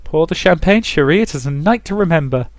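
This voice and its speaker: A man's voice: fairly high pitch, lively intonation, a little fast, no echo, studio quality, pitched above the performer's own voice, close to an everyday voice. A man voicing a cheerful, affectionate, teasing young Parisian lady in a visual novel. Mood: delighted.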